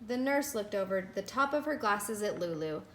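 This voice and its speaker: A woman reading a children's picture book aloud like a teacher, with an animated, dramatic delivery. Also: mid-range voice, normal speed. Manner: animated, dramatic delivery with emphatic nonsense words. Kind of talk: expressive children's storybook read-aloud.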